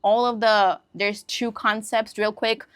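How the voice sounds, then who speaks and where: A woman whose first language is Hindi talks through an English lesson in an easy, conversational way, often stopping to check that her listeners follow. Her voice sits at a middle pitch and is recorded close and dry, with no room sound. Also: flat delivery, measured pace, clear, resonant tone, no echo. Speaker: English teacher. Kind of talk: casual spoken lesson to camera.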